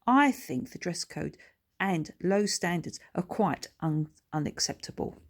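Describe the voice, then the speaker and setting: A woman's voice: lowish voice, expressive, a little slow. A woman voicing a smug, pompous schoolboy who talks in a very formal, well-spoken way. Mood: haughty. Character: smug, pompous, newly posh schoolboy son.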